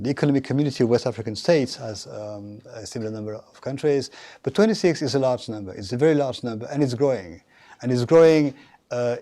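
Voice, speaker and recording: A man giving a university lecture, formal and halting, with many fillers and restarts. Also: medium pitch, dark tone. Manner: formal, addresses audience directly.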